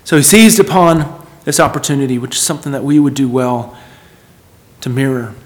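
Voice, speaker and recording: A man's voice: medium pitch, brisk. A man preaching a sermon in an earnest, emphatic manner, repeating phrases for weight and putting questions to his listeners.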